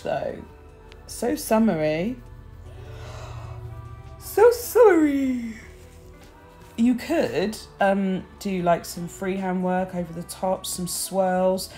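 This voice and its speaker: A chatty woman talks in a lowish, slightly croaky voice, bubbly and full of little asides as she walks through her nail art. Soft music plays faintly behind her. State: croaky, early-morning voice. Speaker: nail technician. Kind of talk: nail art tutorial chat.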